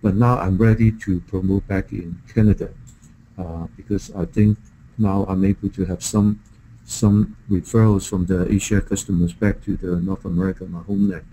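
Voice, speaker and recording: A middle-aged man from Hong Kong with a Hong Kong accent, a native Chinese speaker, making a business pitch in halting English with repeated words. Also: level tone, dark tone, rough recording. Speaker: software vendor presenting to a prospective partner. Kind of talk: business call, product pitch.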